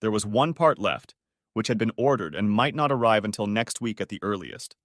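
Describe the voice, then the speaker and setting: A man's voice: lowish voice, fairly quick, no echo, clean studio sound. A man narrating a first-person story in scripted storytelling style. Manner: expressive storytelling.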